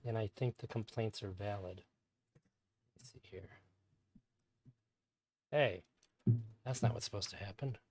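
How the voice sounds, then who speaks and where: A man casually commenting on an old stream. He calls his own voice higher-pitched, rambles, and swears now and then. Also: measured pace, long pauses, noisy background.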